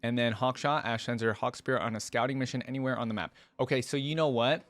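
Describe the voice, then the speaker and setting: A man's voice: medium pitch, fairly even intonation, fast talker, no room sound, soft background music. A man giving casual, conversational reaction commentary on a video game, rambling with frequent asides.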